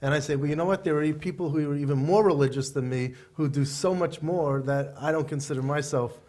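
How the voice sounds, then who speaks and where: A man's voice: fairly high pitch, fast talker, darkish tone. Chatty male co-host asking questions. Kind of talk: casual interview conversation.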